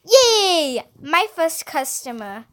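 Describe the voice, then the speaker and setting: Woman playing a high-pitched bossy little girl, fake French accent. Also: sing-song, slow pace, a bit bright, a little hiss or hum, heavily acted. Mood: excited. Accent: exaggerated fake French. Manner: gushing, theatrical, commanding. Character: bossy six-year-old girl playing glamorous French salon stylist. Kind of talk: audiobook character voice.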